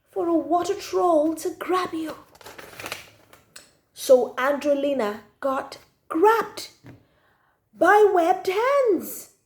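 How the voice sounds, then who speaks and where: A woman's voice: high voice, darkish tone. A woman reading a children's story aloud expressively, drawing out key words for emphasis.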